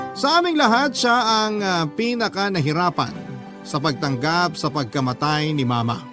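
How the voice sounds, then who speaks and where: A man narrating a personal letter as a radio drama storyteller, in a dramatic first-person reading. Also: a little high.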